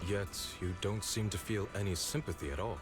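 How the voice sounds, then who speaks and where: A man's voice: lowish voice, a little fast, natural voice. An actor playing a brooding, skeptical man in a video game, terse and restrained. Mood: guarded, doubtful. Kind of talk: dramatic video game voice acting. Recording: game music clearly behind. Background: game music.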